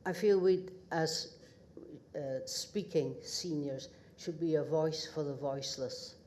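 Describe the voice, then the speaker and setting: An older woman with a northeast Scottish accent gives a halting acceptance speech full of pauses and wry humour at an awards ceremony. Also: sits low, darkish tone, a little hiss or hum. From northeast Scotland. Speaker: award recipient. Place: ceremony hall at a podium.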